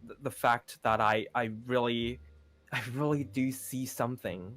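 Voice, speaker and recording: Earnest young male best friend played by a man, tender and halting, game roleplay, music behind. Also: mid-range voice, steady tone, fairly bright tone, close to an everyday voice. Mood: earnest pleading. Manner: halting, repeats words, pads with "you know". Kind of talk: improvised Minecraft roleplay acting.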